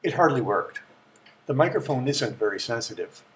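A middle-aged man giving a measured, matter-of-fact scripted explanation as the presenter of a technical tour. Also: medium pitch, expressive, fairly bright tone. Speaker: presenter of a vintage electronics tour.

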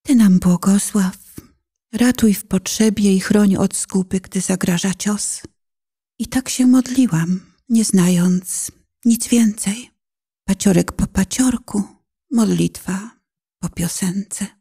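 Slow, prayerful woman reciting religious verse. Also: mid-range voice, warm tone, slightly rough. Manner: impassioned, prayerful, measured pauses. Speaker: narrator. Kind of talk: dramatic poetic recitation in a religious radio play.

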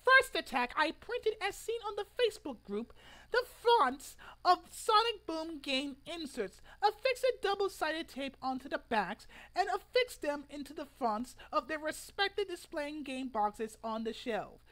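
Casting: young man playing a pompous, whiny male internet protester for a mock dramatic reading; very high, bright, swooping delivery, stilted and indignant. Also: very animated, a little slow, exaggerated voice. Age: young adult. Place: living room at home, indoors.

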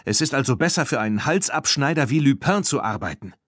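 A man's voice: medium pitch, lively intonation, fast, fairly bright tone, no echo, clean studio sound, pitched above the performer's own voice. A man voicing an outspoken, indignant girl in a storybook, with an emphatic, accusing manner. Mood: angry, accusing. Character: indignant, outspoken girl who loves her horse.

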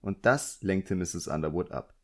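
A man's voice: sits low, unhurried. A man reading literary fiction as an audiobook narrator, in scripted narration.

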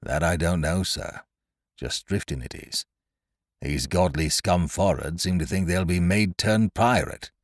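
A man voicing a gruff old boatswain who talks in a rough rustic seafaring dialect. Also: deep voice, measured pace, dry recording, studio quality. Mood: wary, confiding.

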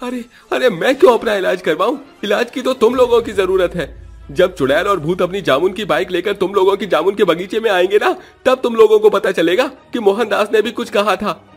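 Cartoon older villager: agitated, aggrieved, pitched far up, music underneath. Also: male voice, a little fast, slightly rough. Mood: indignant. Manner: exclamatory, aggrieved. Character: agitated, indignant older village man with a berry orchard.

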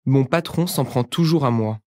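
Brief: male lesson reader, mid pitch, dry close recording, clear deliberate phrasing. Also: mellow, dry recording. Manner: clear, careful enunciation of short phrases. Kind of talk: slow repeated phrase reading.

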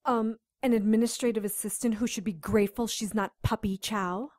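A woman acting a cocky, sharp-tongued armed rescuer in an audio drama. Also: medium pitch, fairly even intonation, brisk, dry recording, clean studio sound, close to an everyday voice.

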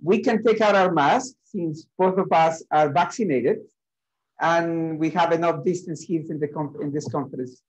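A man hosting an expert interview, speaking haltingly with frequent fillers. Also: a little high, muffled recording. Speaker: host, center director. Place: conference room.

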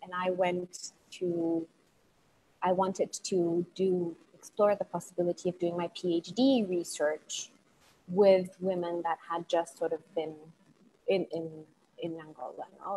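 Woman from Portugal, webinar guest, lowish voice, light background noise. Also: gravelly, darkish tone, rough recording. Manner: thoughtful, hesitant restarts. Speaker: guest speaker. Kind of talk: academic webinar conversation. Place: home room with bookshelves. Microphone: wired earphones mic on video call.

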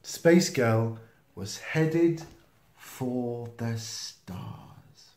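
A man reading a children's picture book aloud in an expressive, playful manner. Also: mid-range voice. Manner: expressive, slow, with playful sound effects and asides. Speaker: storytime reader. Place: small indoor room, seen close to the camera. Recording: roomy, echoey home video sound.

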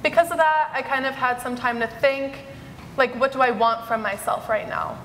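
A woman's voice: high-pitched, normal speed, a bit bright, slight background noise. A teenage girl, a panelist on a conference stage, introducing herself in a chatty, upbeat way. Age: teens. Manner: chatty, upbeat, laughs often. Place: conference stage in a large hall. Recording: echoey hall recording.

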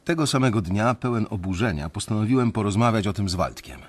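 A man's voice: fairly low pitch, lively intonation, quick pace, natural voice. An actor playing a resentful young investment banker who tells his story bitterly in a radio drama. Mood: bitter recollection.

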